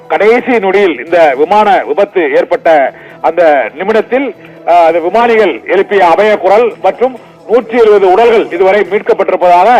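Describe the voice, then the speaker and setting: A man presenting live breaking news in a halting, repetitive, improvised delivery. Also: high voice, darkish tone. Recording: dull lo-fi broadcast with music behind. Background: background music.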